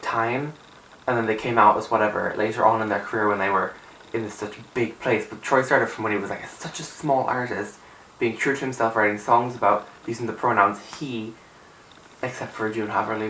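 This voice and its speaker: Raspy just-woke-up young man, roomy sound. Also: lowish voice, sharp tone. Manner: chatty, rambling, emphatic with filler "like". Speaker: music vlogger. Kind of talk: casual opinion chat to camera. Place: small untidy room indoors. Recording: roomy, distant camera sound with faint hiss.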